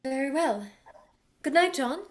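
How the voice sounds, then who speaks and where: A woman playing a delicate, troubled young gentlewoman in a radio play, earnest and dramatic in delivery. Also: high voice, lively intonation, a little slow. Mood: polite insistence. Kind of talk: theatrical radio play acting.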